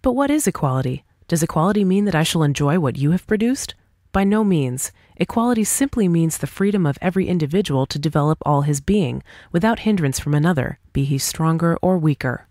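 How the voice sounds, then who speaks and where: A woman reading the part of a confident, pointed individualist debater in an audiobook dialogue, articulate and deliberate. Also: sits low, animated, a little mellow, dry recording, studio quality. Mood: firm, reasoning. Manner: articulate, deliberate, rhetorical questioning.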